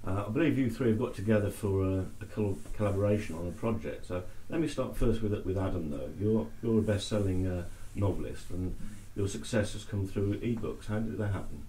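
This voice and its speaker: Man, interviewer, deep, mellow, hesitant, echoey room, faint noise. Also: fairly quick, hardly any pauses. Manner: hesitant, stumbling questions. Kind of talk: local TV interview.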